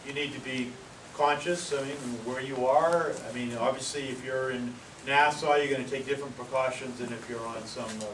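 A man from Maine giving a casual talk outdoors, with many fillers and pauses. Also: medium pitch, steady tone, fairly bright tone. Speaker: presenter. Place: outdoor pavilion among palm trees. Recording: roomy, distant, noisy outdoor sound.

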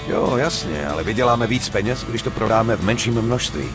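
A man's voice: medium pitch, over music, natural voice. A man playing a level-headed, persuasive gangster in a dubbed crime game, blunt and slangy. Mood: calm, persuasive. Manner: blunt, slangy, self-assured. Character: level-headed, persuasive male gangster running a drug deal. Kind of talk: dubbed video game acting.

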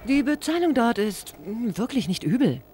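A tough young female inventor in an animated game. Her voice is a little high and moves freely, with a dry, self-assured, casual delivery. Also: normal speed. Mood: dry, resolute. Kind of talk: animated game cutscene acting.

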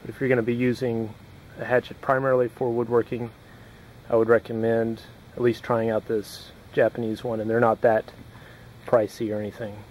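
A young man explaining hatchet woodworking in a relaxed, unhurried way while chopping outdoors in a yard, recorded on the camera. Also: medium pitch, slight background noise, low-quality recording. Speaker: woodworking instructor. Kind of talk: casual how-to demonstration.

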